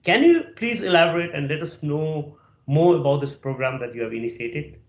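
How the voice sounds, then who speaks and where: A man's voice: on the high side, expressive, moderate pace. A male interview host asking polite questions, peppering his speech with "you know, like". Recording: echoey, dull lo-fi audio.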